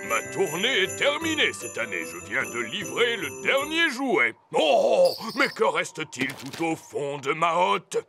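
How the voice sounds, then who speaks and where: A jolly old Father Christmas from a cartoon, kindly and gravelly. He speaks slowly and expressively, over music. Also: on the high side, wildly swooping pitch, pushed and bright, exaggerated voice. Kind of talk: preschool cartoon dubbing.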